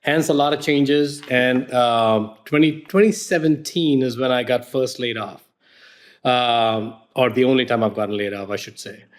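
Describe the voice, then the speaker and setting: A man with an Indian accent talks as a podcast guest, at a middle pitch. He is thoughtful and reflective, and he often doubles back on his words while working out a thought. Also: normal speed. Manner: reflective, repeats words as he thinks. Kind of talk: conversational interview.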